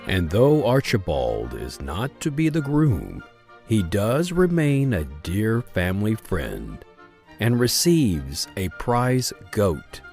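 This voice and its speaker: Expressive male storyteller, low voice. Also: takes it slowly, soft background music. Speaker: narrator. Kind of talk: scripted radio-drama narration.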